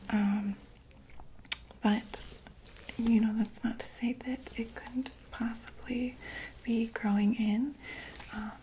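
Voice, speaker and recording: A young woman whispering softly as a doctor in a close-miked ASMR roleplay, reassuring and full of small fillers. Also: on the high side, fairly even intonation, slight background noise, rough recording. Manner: soft, slow, reassuring bedside whisper with many fillers. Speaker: ASMR creator playing a monster doctor.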